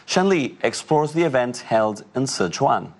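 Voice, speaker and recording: A male news anchor introducing stories in a crisp presenter style. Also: medium pitch, very animated, unhurried.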